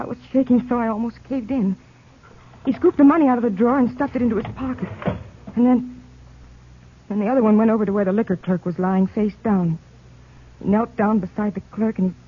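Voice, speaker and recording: An actress playing a shaken American crime witness in a radio drama, speaking plainly in a hesitant, nervous way. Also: female voice, fairly high pitch, lightly acted. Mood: distressed. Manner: halting, nervous, plain-spoken. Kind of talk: 1950s radio drama acting. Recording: muffled, noisy old-time radio.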